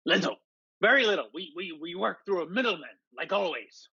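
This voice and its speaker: A man's voice: high-pitched, animated, a little fast, creaky, bright, forceful tone, slightly rough, heavily acted. A man playing a blustering, stammering pirate prisoner in a staged play reading, recorded with dull sound. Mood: frightened pleading. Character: defiant male pirate prisoner, cracking into fearful pleading.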